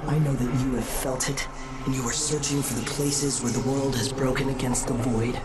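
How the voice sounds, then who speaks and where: An actor playing a cryptic, ageless supernatural being in a video game, measured, solemn and knowing. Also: male voice, mid-range voice, little pitch movement, very noisy, close to an everyday voice. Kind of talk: dramatic video game monologue. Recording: loud music behind the voice. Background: loud game music.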